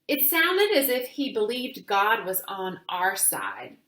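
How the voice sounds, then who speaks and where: A woman reading a children's book aloud in a deliberate, teacherly way, pausing to speak to young listeners. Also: medium pitch, wildly swooping pitch, a bit bright, roomy sound. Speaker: reader of children's books for a virtual summer camp. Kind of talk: read-aloud storytelling with asides to children.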